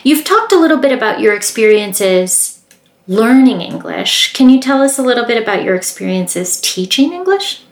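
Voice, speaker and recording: A woman interviewing a guest in a relaxed conversation, asking friendly follow-up questions. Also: a little high, moderate pace, echoey room. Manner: encouraging, asks follow-up questions.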